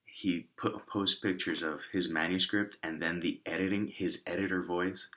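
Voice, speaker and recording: A man with a raspy voice and a lingering cough, casually rambling through shoutouts with many restarts and asides. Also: low-pitched. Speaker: author and vlogger.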